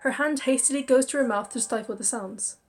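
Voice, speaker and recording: Woman reading a story over soft music. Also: sits high, brisk. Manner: expressive storytelling, chatty asides. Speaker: game narrator. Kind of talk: reading a visual novel aloud.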